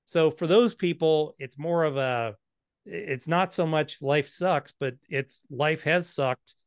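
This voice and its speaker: A man's voice: fairly high pitch, slow pace, smooth, no echo, studio quality. A man in his fifties, an author and financial planner, answering interview questions in a blunt, candid, self-deprecating way. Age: 50s.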